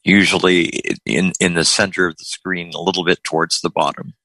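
A man hosting a call-in show, talkative and quick to joke with callers. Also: deep voice, little pitch movement, pushed and bright, no room sound, rough recording. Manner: jokey, talkative. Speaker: host.

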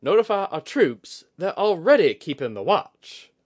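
Man reading as sharp-tongued sailor woman: raised pitch, folksy, blunt, snappish fantasy storybook character. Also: sing-song, a little slow, heavily acted. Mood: dubious. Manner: snappish, rough colloquial grammar.

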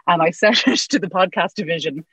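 A woman's voice: lowish voice, fairly quick, fairly bright tone, slightly rough, no echo. A woman chatting as a guest, telling stories with easy laughter. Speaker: guest, podcast presenter. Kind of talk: casual chat.

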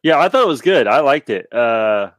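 A man's voice: medium pitch, very animated, normal speed, no room sound, clean studio sound. A man taking part in a casual podcast chat, thinking aloud in a hesitant, conversational way. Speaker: podcast guest guessing along.

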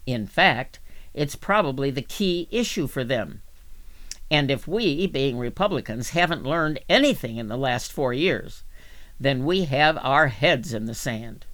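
A man reading magazine articles aloud as scripted narration, in a measured, deliberate manner. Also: medium pitch, animated, a bit bright. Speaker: volunteer magazine reader for a reading service.

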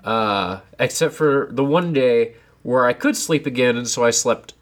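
A young man co-hosting a casual podcast from a home basement, a bit congested, animated and self-deprecating. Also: mid-range voice, a little slow. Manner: animated, self-deprecating, sips tea while talking. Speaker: podcast co-host. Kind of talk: casual chat.

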